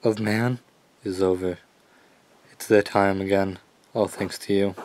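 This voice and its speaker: An actor playing a sinister older cult doctor in an audio drama, measured, condescending and ominous. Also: male voice, sits low, little pitch movement, darkish tone. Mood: cold certainty.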